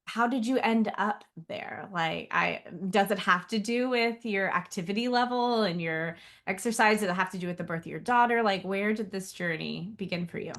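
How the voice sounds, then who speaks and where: A woman hosts a podcast interview in a chatty, informal way. Her voice sits at a medium pitch. She fills her questions with likes and you-knows. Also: moderate pace, hardly any pauses, a bit bright. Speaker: podcast host. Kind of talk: casual interview hosting.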